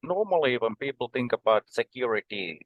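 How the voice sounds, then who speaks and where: Finnish man explaining in measured tones. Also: medium pitch, animated, dry recording, phone-quality sound. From Finland. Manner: measured, explanatory, with frequent um and uh pauses. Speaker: guest. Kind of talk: conversational podcast interview.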